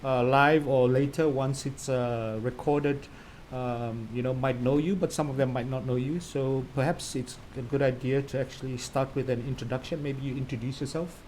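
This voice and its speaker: A man's voice: mid-range voice, steady tone, normal speed, a little mellow, a little hiss or hum. A man hosting a live online interview, asking long, rambling questions full of "you know". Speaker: host of a live interview series.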